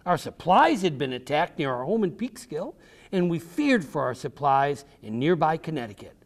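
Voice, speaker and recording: A man voicing a patient old grandmother who recounts her wartime ride in measured, instructive storybook narration. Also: on the high side, big pitch swings. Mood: earnest.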